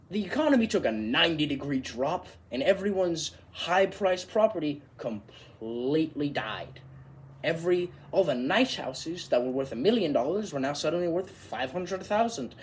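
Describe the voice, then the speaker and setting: A man performing a monologue as an animated, exasperated Irish comedian, telling a story with emphatic, theatrical delivery. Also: mid-range voice, slight background noise, voice pushed up, lightly acted.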